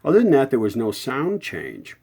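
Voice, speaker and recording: A man's voice: mid-range voice, wildly swooping pitch, moderate pace, vocal fry, dark tone, slightly rough. A man giving a casual, unscripted repair walkthrough in a folksy way, thinking aloud and correcting himself as he goes. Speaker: radio repair hobbyist and video host.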